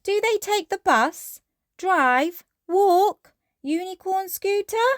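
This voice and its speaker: A woman voicing a gentle, encouraging mummy pig with a patient, motherly manner in a children's storybook. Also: very high voice, lively intonation, takes it slowly, no room sound, studio quality, voice pushed up, exaggerated voice. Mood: playful. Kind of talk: children's storybook read-aloud.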